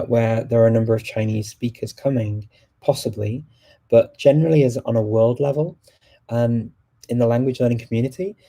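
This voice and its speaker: Host voice: native English-speaking man, very dark and mellow tone, flat delivery, halting as he hunts for words. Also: medium pitch. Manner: halting, often pausing to search for words. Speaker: host of a discussion on multilingual events. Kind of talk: casual live group chat.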